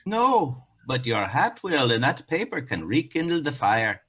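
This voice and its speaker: A man's voice: medium pitch, sing-song, measured pace, a bit bright, breathy edge, full character voice. A man acting a wry bartender and onetime giant killer in a fairy-tale stage play, speaking emphatically.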